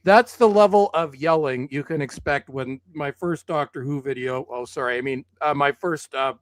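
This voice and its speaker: A man with a hoarse voice from yelling, chatting casually on a stream, blunt and sweary. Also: on the high side, normal speed, no echo. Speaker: video commentator. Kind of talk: casual chat.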